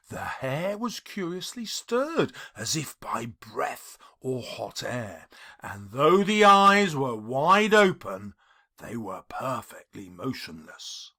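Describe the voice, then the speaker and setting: A man's voice: fairly high pitch, pushed and bright. A man reading a classic story as an audiobook, with theatrical, emphatic phrasing and dramatic stresses. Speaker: audiobook reader, English teacher. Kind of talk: dramatic scripted audiobook narration.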